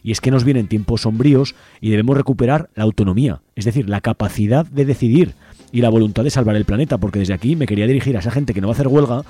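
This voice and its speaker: A man's voice: medium pitch, dark tone, husky. A man with an accent from Spain hosting activist radio, speaking in an impassioned way with rhetorical lists. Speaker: radio host. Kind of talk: activist radio talk with phone-in.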